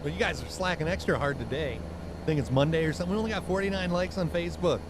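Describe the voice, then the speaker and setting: A man's voice: on the high side, rapid-fire, background noise. A man hosting a live stream while driving in a truck game, chatting casually with blunt talk and lots of fillers. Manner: rambling, filler-heavy, blunt.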